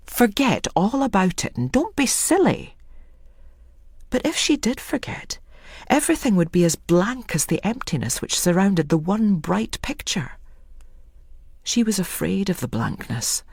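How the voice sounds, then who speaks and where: A woman narrating a story in a hushed, sometimes near-whispered manner. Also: on the low side, slightly rough, dry recording, studio quality. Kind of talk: scripted audiobook narration.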